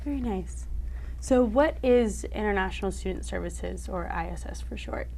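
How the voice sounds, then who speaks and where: A woman's voice: mid-range voice, lively intonation, moderate pace, vocal fry, a little hiss or hum. A young woman hosting a student television interview, upbeat when she introduces guests and asks questions. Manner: bright and upbeat, quiet brief replies. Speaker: host.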